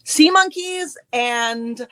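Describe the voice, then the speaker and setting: A woman's voice: fairly high pitch, lively intonation, drawn-out words, a bit bright. A middle-aged woman born in Germany, chatting as a guest author and laughing often. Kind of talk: casual chat.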